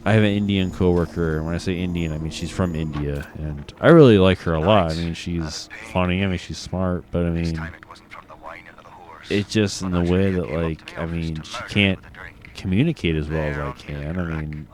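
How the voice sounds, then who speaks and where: A man's voice: low-pitched, normal speed, darkish tone. A man with a Midwestern American accent, a bit drunk, rambling and reflective as he hosts a casual gaming chat, swearing casually. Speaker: gaming podcast host.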